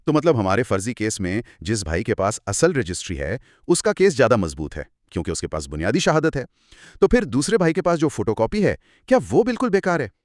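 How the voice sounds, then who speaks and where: A man's voice: mid-range voice, big pitch swings, a little fast, darkish tone, no room sound, clean studio sound. A man, co-hosting an educational dialogue in a conversational, inquisitive way. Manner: inquisitive, rephrases points in simple terms. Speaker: co-host posing questions.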